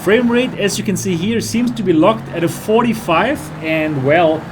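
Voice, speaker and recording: A man's voice: high-pitched, moderate pace, background noise. Chatty German-accented man. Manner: chatty, explanatory, enthusiastic about cars. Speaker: VR reviewer. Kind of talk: casual gameplay commentary.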